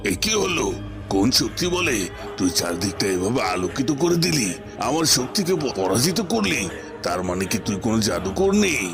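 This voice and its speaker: A man's voice: medium pitch, sing-song, normal speed, creaky, over music. A man playing a boastful, menacing evil sorcerer in a cartoon, with theatrical, taunting delivery. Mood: shocked anger. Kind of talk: Bengali cartoon villain acting.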